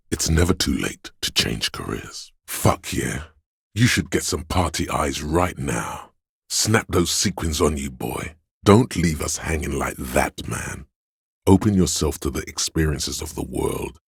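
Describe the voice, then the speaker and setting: Sleazy inner voice of vice for a video game, super deep and very husky, slow seductive goading, wants booze and thrills. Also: male voice, no room sound, studio quality, full character voice. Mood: seductive, egging on. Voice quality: gravelly, husky bass. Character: sleazy hedonistic inner voice of a drunkard's nervous system. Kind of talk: video game character voice acting.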